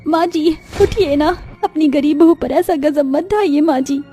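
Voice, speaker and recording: A woman's voice: high voice, measured pace, dark tone, soft background music, pitched above the performer's own voice. A woman voicing a poor young village daughter-in-law in a cartoon story, earnest and pleading in a dramatic, plaintive way. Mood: tearful grieving. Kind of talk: Hindi moral cartoon story acting.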